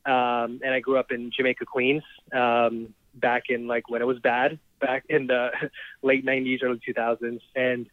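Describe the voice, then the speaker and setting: A man in his thirties from Trinidad and Tobago, a startup founder telling his story in a relaxed interview, with lots of ums and restarts. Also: medium pitch, normal speed, dry recording. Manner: relaxed, laughs often, many ums and restarts. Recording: dry, tinny phone-like remote line.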